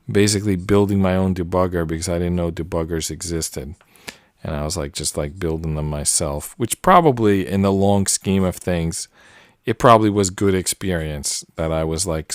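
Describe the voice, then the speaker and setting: A man's voice: sits low, no echo, clean studio sound. A man in his late forties chatting casually and rambling on tangents while working on a jigsaw puzzle. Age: 40s. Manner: rambling, relaxed, many fillers and tangents. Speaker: puzzle channel host. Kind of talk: casual solo commentary while solving a puzzle.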